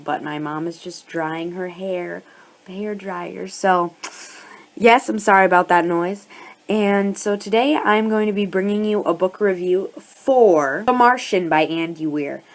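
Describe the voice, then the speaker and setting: A woman with a hoarse voice from a cold, chatting and gushing in a casual book review vlog, full of "like" fillers. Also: on the low side. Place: at home.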